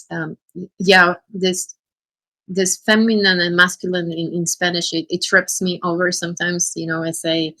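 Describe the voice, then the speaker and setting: Bright-voiced woman hosting a group call. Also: lowish voice, dry recording. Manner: encouraging, asks follow-up questions. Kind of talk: casual video call chat.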